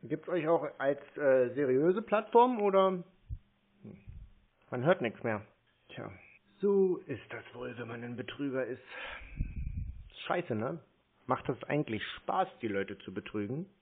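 A man's voice: medium pitch, animated, a little slow, slightly rough. Mocking man on a tinny line. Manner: mocking, blunt, sarcastic. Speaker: scam-baiter prank call host. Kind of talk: confrontational phone conversation. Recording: tinny narrow phone-like sound.

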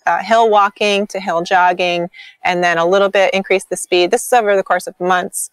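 Lively, chatty woman on a video call. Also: mid-range voice, normal speed, clean tone, dry recording. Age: 40s. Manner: enthusiastic, frequent ums and likes. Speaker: podcast guest, sprint coach. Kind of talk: casual interview chat.

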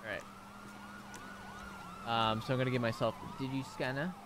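A man's voice: medium pitch, fairly even intonation, moderate pace, fairly bright tone, slight background noise. A man streaming a role-play game, chatting casually and muttering and swearing to himself as he plans. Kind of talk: casual gaming stream chat.

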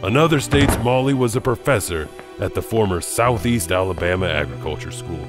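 A man narrates eerie legends in a scripted storyteller's style. His voice sits low, with loud music running underneath it. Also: animated, fairly quick. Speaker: narrator and host of an urban legend anthology.

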